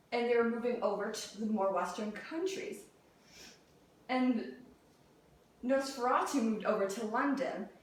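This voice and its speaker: Young female student speaker, higher-pitched, presenting a rehearsed persuasive talk in a very reverberant room. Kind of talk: prepared persuasive classroom speech. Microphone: distant camera or room mic. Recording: very echoey room, distant mic, faint noise.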